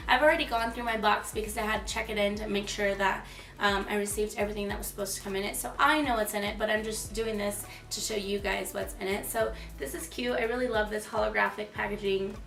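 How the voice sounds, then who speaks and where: A young woman in her twenties with a hoarse, froggy voice, chatting enthusiastically through a makeup product review. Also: medium pitch, steady tone, fast, a bit bright, recorded from a distance. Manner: chatty and enthusiastic, many fillers. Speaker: beauty vlogger. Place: small room, plain wall. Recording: echoey room, slightly off-mic.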